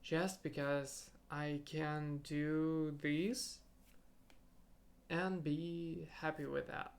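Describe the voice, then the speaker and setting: Young guy, russian native, super slow, gravelly voice, kinda halting solo podcast ramble. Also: on the high side, level tone. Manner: halting, searching for words, thinking aloud. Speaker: podcast host.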